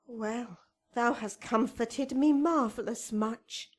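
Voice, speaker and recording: Female, young tragic heroine for classical radio play; high voice, slow impassioned verse delivery, theatrical. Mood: bitter, resolved. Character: desperate, passionate young noble daughter in love. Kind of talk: Shakespearean radio drama acting.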